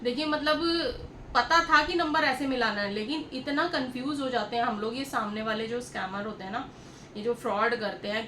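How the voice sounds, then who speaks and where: A woman with a fairly high voice chats casually to her vlog viewers. She repeats points as she tells her story and speaks to them directly. The recording is roomy and echoey, with clear background noise. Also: sharp tone. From India. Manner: chatty, repetitive, addresses viewers directly. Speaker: vlogger.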